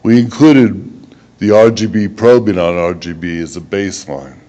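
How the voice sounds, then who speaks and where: A man giving a technical conference presentation as a researcher, in a measured, halting way with occasional stumbles. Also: sits low, mellow, muffled recording.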